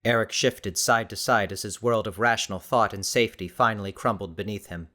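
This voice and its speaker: A man's voice: lowish voice, steady tone, fairly quick, pushed and bright. A man reading scripted, dramatic story narration as an audiobook narrator.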